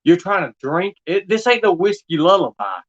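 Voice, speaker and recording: A man's voice: high voice, very animated, normal speed, lo-fi sound. A man livestreaming in an insistent, repetitive, confrontational way. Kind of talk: impassioned monologue to an absent person.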